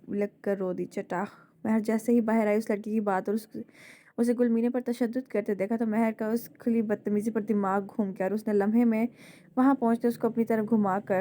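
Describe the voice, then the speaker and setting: Woman, hoarse throat, mellow dark voice, dramatic novel narration. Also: mid-range voice. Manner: dramatic storytelling. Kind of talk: reading a romance novel aloud.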